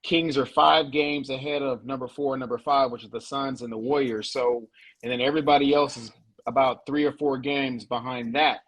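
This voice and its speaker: A man's voice: medium pitch, steady tone, moderate pace, a bit bright, low-quality recording. A man talking sports as a guest on a casual show, laid-back, often repeating words as he works out his point.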